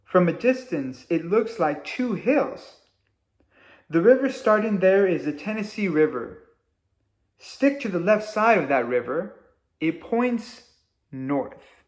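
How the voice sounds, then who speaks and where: A man voicing an earnest peg-legged guide in a children's story, giving emphatic, drawn-out instructions. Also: sits high, big pitch swings, pitched above the performer's own voice, heavily acted. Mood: singsong, instructive.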